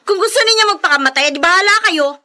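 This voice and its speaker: Sharp-tongued young daughter, filipino radio drama, super high bright voice, always scolding. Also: female voice, animated, fast talker, full character voice. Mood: angry, scolding. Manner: scolding, insistent. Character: indignant, dutiful younger daughter, sharp-tongued with her sister.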